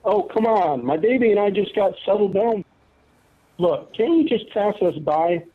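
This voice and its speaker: A man's voice: sits high, lively intonation, a little slow, breathy edge, heavily acted. A man acts out a weary resident of a tent camp in a radio play. He pleads plainly, and the sound is thin and narrow like a phone call. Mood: pleading. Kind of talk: amateur radio play acting. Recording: tinny, phone-like line.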